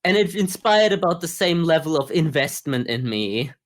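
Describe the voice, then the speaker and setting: A man co-hosting a podcast, giving a casual review in a measured, analytical, conversational way. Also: fairly high pitch, animated. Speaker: podcast co-host. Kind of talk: casual anime review discussion.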